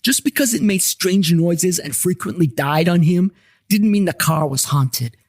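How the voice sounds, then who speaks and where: A man's voice: sits high, expressive, unhurried, darkish tone, dry recording, studio quality. A man telling a scripted comic story in the first person, with wry, irreverent asides to the listener. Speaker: storyteller narrator and host of a paranormal investigations series. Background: plucked guitar music.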